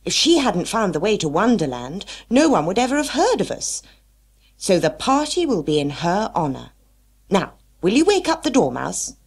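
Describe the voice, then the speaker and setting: A woman's voice: mid-range voice, very animated, normal speed, husky, voice pushed up. A woman voicing an eccentric, fussy male tea-party host in an emphatic, declamatory storybook manner. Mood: brisk, eager.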